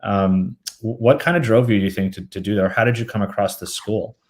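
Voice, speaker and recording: A man hosts a podcast interview over a video call, with a somewhat low voice. He asks curious, halting questions, often restarting and repeating words before he lands on the point. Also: fairly even intonation. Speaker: podcast host. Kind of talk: casual interview.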